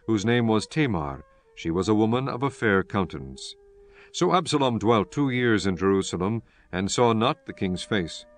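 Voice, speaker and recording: A male narrator reads scripture in a solemn scripted style. His voice sits on the low side, with faint music behind it.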